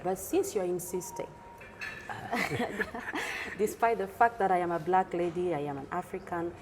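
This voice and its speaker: A woman's voice: on the low side, unhurried. A middle-aged woman from the Democratic Republic of the Congo, answering as a guest in a conversational interview and switching between languages. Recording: clearly noisy background ambience.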